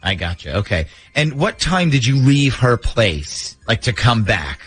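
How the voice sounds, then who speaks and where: A man's voice: mid-range voice, sing-song, a little slow, fairly bright tone, slightly rough, soft background music. A man hosting a morning radio show, talking through a prank call segment.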